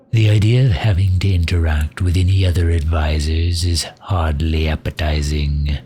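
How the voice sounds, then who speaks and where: Man voicing very deep, sardonic mage advisor. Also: a little mellow. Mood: weary, irritated. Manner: dry, sardonic, drawn-out delivery with sighs. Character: loyal, sharp-tongued male mage advisor to a demon ruler. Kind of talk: ASMR roleplay audio drama.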